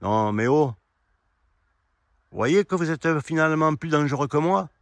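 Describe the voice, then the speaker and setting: A man giving a rambling, argumentative opinion monologue, speaking directly to his listeners in an indignant way and halting to search for words. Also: on the high side, animated, no room sound. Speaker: amateur video commentator. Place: quiet spot outdoors.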